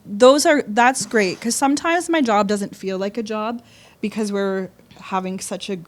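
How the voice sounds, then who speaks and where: A woman with a mid-pitched voice talks as a podcast guest. She is informal and chatty, often checking in with a short tag question at the end of a point. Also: unhurried. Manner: informal, ends points with "right?". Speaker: podcast guest, college staff member. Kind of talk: casual chat in an interview.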